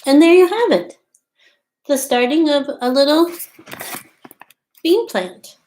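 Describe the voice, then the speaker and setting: A woman's voice: high voice, animated, slow pace, a little mellow. A woman in her thirties reading aloud and explaining things simply and expressively for young children, as a storytime host. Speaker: storytime host at a community farm. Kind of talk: children's read-aloud and show-and-tell. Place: small room at home, indoors.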